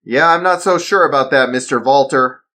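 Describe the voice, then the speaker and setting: A man voicing a retired assassin father, steady and blunt, protective of his son. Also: mid-range voice, moderate pace, voice pushed up, close to an everyday voice. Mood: defiant. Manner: reassuring to his son, defiant to enemies. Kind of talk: amateur storytelling.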